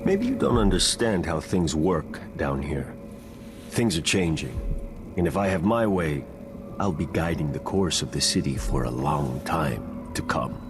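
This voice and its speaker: Deep-voiced swaggering gang boss. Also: male voice, big pitch swings, measured pace, full character voice. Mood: cocky, menacing. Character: swaggering, ambitious male gang boss, tough and shrewd. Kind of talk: video game voice acting. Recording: loud game music behind the voice.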